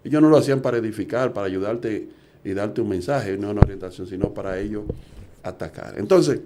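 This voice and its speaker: A man's voice: fairly low pitch, a little fast, very noisy. A middle-aged man, preaching earnestly and asking rhetorical questions. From Dominican Republic. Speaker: evangelist preacher. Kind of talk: preaching talk.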